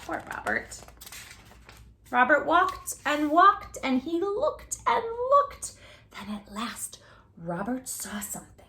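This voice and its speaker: A woman reading a children's picture book aloud as a storytime host, theatrically, with drawn-out words and little asides to the listener. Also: sits high, huge pitch swings, bright, forceful tone, slight background noise.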